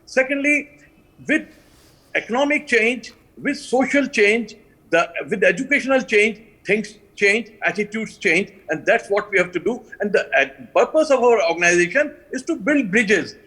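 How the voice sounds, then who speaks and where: A man's voice: high voice, animated, normal speed, pushed and bright, husky. An older man with an Indian English accent, speaking earnestly and emphatically as a guest on a live TV panel and repeating his key phrases. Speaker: panel guest, alliance co-founder.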